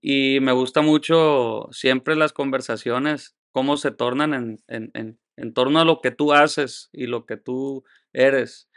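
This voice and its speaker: A man's voice: medium pitch, steady tone, takes it slowly, few pauses, a bit bright, no echo, clean studio sound. A man hosting a podcast, friendly, laughing often and prompting his guest with questions. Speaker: podcast host. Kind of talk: casual interview chat.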